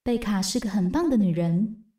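A woman's voice: medium pitch, lively intonation, moderate pace, dark tone, natural voice. A woman narrating a radio drama as a composed storyteller. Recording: roomy, slightly distant voice.

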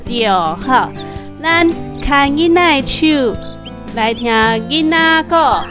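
A woman's voice: high voice, animated, slow, over music. A woman with a Taiwanese accent chatting casually with a young child, explaining things simply and asking questions. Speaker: host of a children's segment, talking with a young child. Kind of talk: casual parent-child chat about a picture book.

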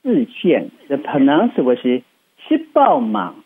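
A man's voice: on the high side, wildly swooping pitch, slightly rough, no room sound. Taiwanese man, phone-like radio sound. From Hsinchu, Taiwan. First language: Chinese. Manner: enthusiastic, explanatory, halting second-language English. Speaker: interview guest, travel writer and radio host. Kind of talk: chatty radio interview.

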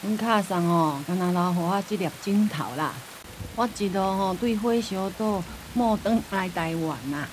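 An actress playing an elderly, forgetful, stubborn grandmother in a radio drama, with a Taiwanese accent and rambling, drawn-out speech. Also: mid-range voice, noisy background, natural voice. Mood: weary, homely. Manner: rambling, drawn-out sentence-end particles.

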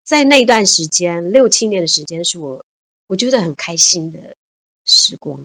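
A woman's voice: lowish voice, expressive, moderate pace, a bit bright. Woman in her sixties, reflective. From Taiwan. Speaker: guest. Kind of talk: casual interview chat.

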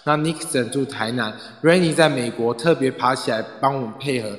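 A man's voice: mid-range voice, brisk. A man hosting an online audio club, speaking casually as he gives closing thanks and introductions. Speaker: club president and host. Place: online group audio chat room. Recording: roomy, reverberant online voice-chat audio.

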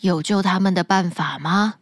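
A woman voicing a gentle, kind-hearted young prince in a bedtime story, speaking earnestly. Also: on the low side, normal speed, dry recording, clean studio sound, voice pushed down, natural voice. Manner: earnest, pleading.

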